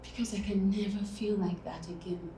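A Nigerian woman playing a wounded, vengeful big sister in a dramatic monologue, speaking in an intense, breathy, halting hush. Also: mid-range voice, steady tone, natural voice. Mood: bitter, numb. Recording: echoey room, background noise.

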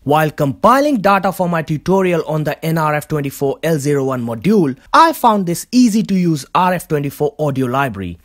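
A narrator presenting a scripted DIY tutorial as the host. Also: mid-range voice.

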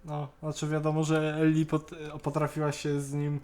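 A man's voice: on the high side, monotone, normal speed, warm tone. A man chatting casually on an online call, often stammering and repeating the starts of words. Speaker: co-host on a Skype call. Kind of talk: casual chat reviewing an animated series.

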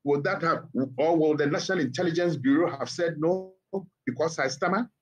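A middle-aged man with a Ghanaian accent, a guest speaking by phone in a TV interview, measured and emphatic with pointed rhetorical questions. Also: fairly high pitch, slightly rough, muffled recording. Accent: Ghanaian English. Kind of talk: TV interview commentary. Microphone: phone line.

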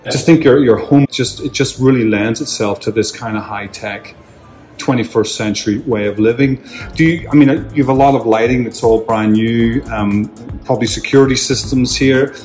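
A man interviewing a homeowner for a company video, friendly and a little halting, chuckling now and then. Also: mid-range voice, darkish tone. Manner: friendly, hesitant with fillers, chuckles. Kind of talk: casual interview. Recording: roomy sound with faint music.

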